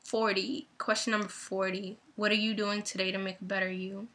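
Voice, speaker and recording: A young woman in a reflective personal vlog, speaking thoughtfully and deliberately about faith, her voice going dry and raspy. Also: medium pitch, little pitch movement, pushed and bright. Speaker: vlogger and author.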